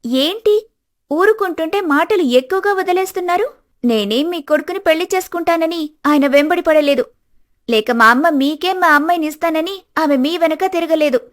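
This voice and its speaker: A woman voicing a clever, outspoken young daughter-in-law in an animated family story, earnest and firm. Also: high voice, normal speed. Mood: defiant. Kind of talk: animated family drama dialogue.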